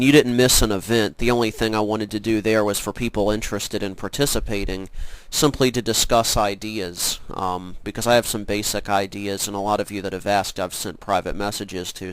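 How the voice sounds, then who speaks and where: A man's voice: fairly low pitch, steady tone, fairly quick, clear, resonant tone, no echo, low-quality recording. A congested man explaining his plans for an online event in a loose, rambling way, often restarting his sentences. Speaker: organizer of an online prank call event. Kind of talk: casual spoken explanation.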